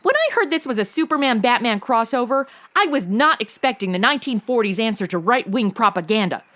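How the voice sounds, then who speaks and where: A woman's voice: a little high, lively intonation, brisk, fairly bright tone. A woman playing a sassy, irreverent modern young woman in a comedic audio drama, slangy and full of wry delivery. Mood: feisty, indignant.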